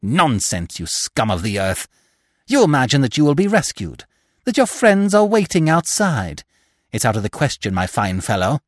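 A man's voice: medium pitch, huge pitch swings, normal speed, no echo, studio quality. A man voicing a furious, vengeful widow in a storybook, melodramatic and taunting, with a cruel and fearful edge. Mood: scornful.

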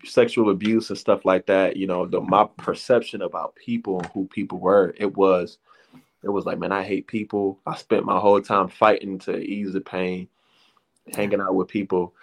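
A man in his thirties from the Detroit area speaks in a very deep voice. He tells his own story as a guest, rambling and restarting, with plenty of slang. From Detroit area, USA. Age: 30s. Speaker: interview guest. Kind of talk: casual personal testimony.

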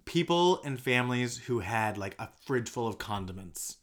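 A man co-hosting a podcast in easy, casual chat. He jokes and teases his co-host, and his delivery stays animated. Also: medium pitch. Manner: joking, animated, quick teasing banter.

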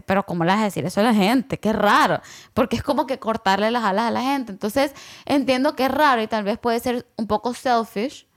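A young adult woman musing aloud in casual conversation, rambling with lots of fillers and slipping in English words. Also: fairly high pitch.

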